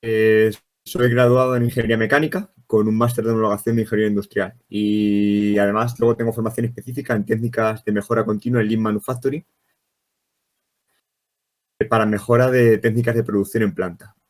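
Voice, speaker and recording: A man from central Spain with a Castilian accent speaks as a guest on a podcast. His voice is fairly low. He explains in a semi-formal register. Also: flat delivery, fairly quick, a little mellow. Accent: Castilian Spanish. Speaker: podcast guest, production manager. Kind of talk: semi-formal self-introduction.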